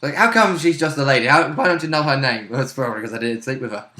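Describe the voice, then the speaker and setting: Bright, higher-pitched man bantering over a game. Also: lively intonation, a little fast, roomy sound. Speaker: tabletop roleplay player. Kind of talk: casual banter about a game.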